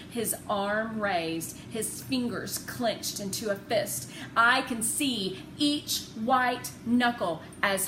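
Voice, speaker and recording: A woman narrating a children's novel expressively, with deliberate, dramatic phrasing. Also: mid-range voice, sharp tone. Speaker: read-aloud narrator. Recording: roomy, noisy home recording.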